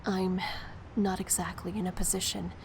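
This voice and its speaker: An actress playing a guarded, snarky young heiress in an audio drama, wary and sardonic. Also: female voice, on the low side, little pitch movement, moderate pace, vocal fry, darkish tone, slight background noise. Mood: softening, guarded.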